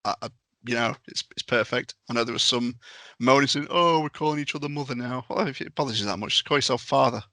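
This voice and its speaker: A man's voice: medium pitch, fast talker, pushed and bright, no room sound. A man chatting casually on a podcast as a panelist and game master.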